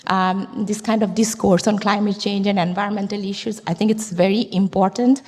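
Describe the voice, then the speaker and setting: A woman's voice: medium pitch, fairly even intonation, moderate pace, a little mellow. Woman from Bangladesh speaking in a hall. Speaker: panelist, climate educator. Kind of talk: conference panel talk. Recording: reverberant hall.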